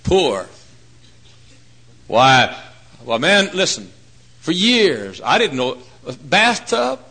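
A male preacher in his forties delivers a folksy, joking sermon. His voice sits a bit high and bright, with wide animated swings in pitch. He drops to hushed asides, then rises to loud, emphatic points. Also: extremely slow, creaky, husky. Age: 40s. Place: church.

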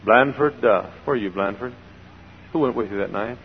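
Gravelly older preacher, echoing church. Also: male voice, on the low side, moderate pace, a little hiss or hum. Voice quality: gravelly, froggy voice. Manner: swings from hollering exhortation to quiet storytelling, repeats phrases for emphasis. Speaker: pastor. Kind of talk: sermon. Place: large church sanctuary. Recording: dull old recording in a reverberant church.

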